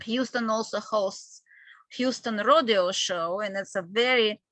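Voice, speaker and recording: A woman from Ukraine with a Ukrainian accent, presenting a webinar in a halting, explanatory way as an admissions manager. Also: mid-range voice, fairly bright tone, no echo. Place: online video call with screen sharing.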